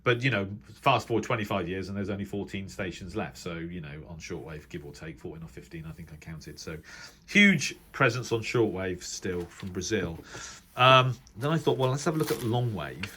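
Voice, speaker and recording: A man's voice: on the low side, bright, forceful tone. A man giving casual, informal hobbyist commentary, thinking aloud and correcting himself as he rambles through explanations. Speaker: radio hobbyist vlogger. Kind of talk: casual informal commentary, reviewing a reference book.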